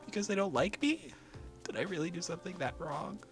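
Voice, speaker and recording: A man's voice: normal speed, a bit bright, faint music behind. A man voicing a lonely young protagonist who is crying and pleading, afraid of being left alone. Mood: sobbing, pleading. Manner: tearful, pleading, voice breaking high.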